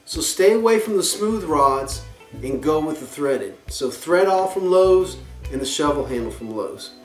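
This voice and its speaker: A man's voice: a little high, a little mellow, echoey room, far from the mic. A man in his thirties hosting a kayak fishing show, answering viewer questions to camera in an upbeat, chatty way from his living room. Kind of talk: casual Q&A to camera. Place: living room, seated on a couch.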